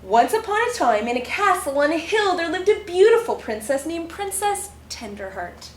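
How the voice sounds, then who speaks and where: A woman's voice: extremely high pitch, big pitch swings, normal speed, slight background noise. A woman reading a picture book aloud in character, with girlish, dramatic expression and exaggerated reactions. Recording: roomy echo with faint music.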